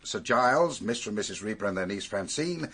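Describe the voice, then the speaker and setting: Low, husky British police superintendent. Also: male voice, expressive, fairly bright tone, exaggerated voice. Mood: expository. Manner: measured, emphatic, expository. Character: police superintendent, authoritative, wry, orderly storyteller. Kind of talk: British radio drama acting.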